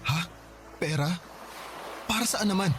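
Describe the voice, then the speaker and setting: A man's voice: high voice, unhurried, background noise. A man playing a humble, devoted young father in a Tagalog radio drama, speaking politely and earnestly. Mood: puzzled.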